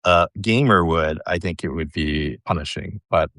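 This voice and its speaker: A man hosting a podcast, asking questions and chatting in a relaxed, wry way. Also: deep voice, normal speed, no room sound, clean studio sound. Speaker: podcast host. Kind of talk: casual interview chat.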